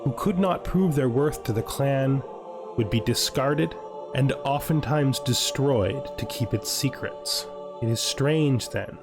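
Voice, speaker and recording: Man as a slow, solemn vampire chronicler. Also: medium pitch. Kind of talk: scripted dramatic narration. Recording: music playing behind the voice.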